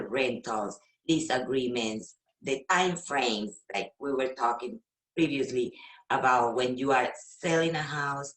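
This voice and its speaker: A Venezuelan woman, a real estate agent whose first language is Spanish, talking casually in an interview with many fillers and pauses. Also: very deep voice, reverberant, low-quality recording. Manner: hesitant, with frequent fillers and laughs.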